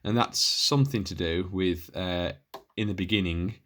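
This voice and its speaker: A man's voice: low voice, normal speed, a bit bright, slight background noise. A British man giving a casual, unscripted demo of his radio gear in a rambling, hesitant way, often interrupting himself. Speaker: hobbyist showing and reviewing his radio gear. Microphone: handheld camera.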